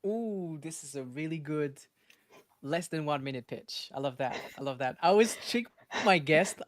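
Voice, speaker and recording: A man's voice: on the high side, lively intonation, moderate pace, dry recording. A man from North Africa and France hosting a casual live interview, enthusiastic and repeating phrases for emphasis. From born in North Africa, grew up in France. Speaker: live show host, startup advisor. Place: live online stream.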